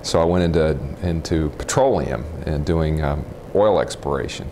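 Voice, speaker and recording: An American man in his forties speaks in a very deep bass register. He answers as an interviewee, matter-of-fact and a little halting. A lapel mic picks him up indoors with faint noise behind. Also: warm tone. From Texas, United States. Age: 40s. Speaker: interviewee, nuclear physicist. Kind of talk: informal oral history interview, recollecting. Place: room in a house, indoors.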